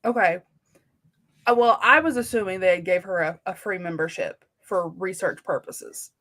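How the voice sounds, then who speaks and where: A woman in a casual book discussion as a co-host, talking informally with slangy phrasing and softened opinions. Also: fairly low pitch, moderate pace.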